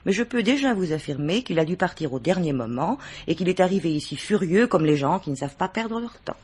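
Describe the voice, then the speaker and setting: Composed worldly mistress, middle-aged, low husky voice, formal measured diction, vintage radio mystery. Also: female voice, animated, a little mellow. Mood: proud, uneasy. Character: worldly, composed married mistress answering a police commissioner. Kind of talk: French mystery radio drama acting.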